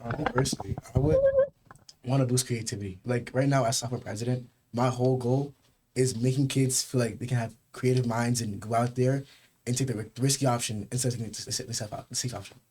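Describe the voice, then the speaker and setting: A man's voice: mid-range voice, fairly even intonation, darkish tone, husky, poor audio. A teenage boy, a class president, speaking enthusiastically and correcting himself as he goes. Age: teens. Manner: enthusiastic, fast, self-correcting.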